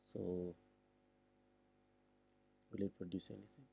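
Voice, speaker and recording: A man giving a read-along programming tutorial, reading deliberately and thinking aloud as he explains. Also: low voice, fairly even intonation, vocal fry, mellow, husky.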